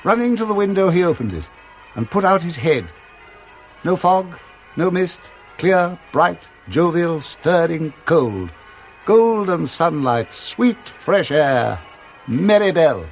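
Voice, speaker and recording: An English man narrates in a dramatic storybook style for radio. He relishes every word and moves his pitch about freely and expressively. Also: sing-song, slow, soft background music, poor audio.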